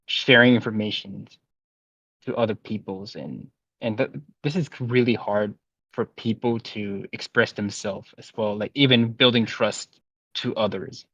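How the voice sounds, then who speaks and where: A man on a discussion panel, talking haltingly with many fillers and restarts. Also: on the low side, no room sound. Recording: dry, dull lo-fi sound.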